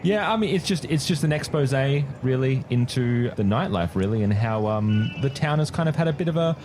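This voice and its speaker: A man's voice: mid-range voice, expressive, a little fast, background noise. A man working as game master, narrating a tabletop role-playing game in a casual style. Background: music.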